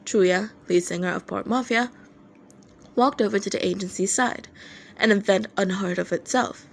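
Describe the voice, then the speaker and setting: A woman narrating a piece of fan fiction as an amateur audiobook reader, in an expressive storytelling style. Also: medium pitch, normal speed, gravelly, slight background noise.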